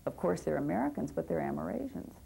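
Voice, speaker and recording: A woman's voice: deep voice, big pitch swings, quick pace, vocal fry, dark tone, a little hiss or hum, poor audio. A woman advocate and teacher speaking earnestly and with passion in a documentary interview, sometimes restarting her sentences.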